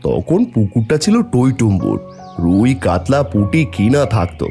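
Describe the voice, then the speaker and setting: A man's voice: lowish voice, wildly swooping pitch, moderate pace, gravelly, warm tone, soft background music, full character voice. A man voicing an affectionate, hard-pressed village farmer and father in a cartoon story, talking in a fond, coaxing way. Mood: practical, reflective.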